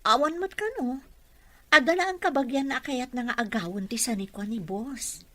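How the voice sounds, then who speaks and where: A young Ilocano woman in a radio serial, confiding in a close friend. Her voice is forceful and bright, pitched fairly high. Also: normal speed. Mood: confiding, troubled. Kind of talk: Ilocano radio drama acting.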